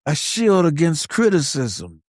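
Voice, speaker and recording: A man's voice: a little high, wildly swooping pitch, a little slow, darkish tone, no room sound, clean studio sound. A man co-hosting a podcast, giving scripted, conversational commentary in short, wry statements. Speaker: podcast co-host.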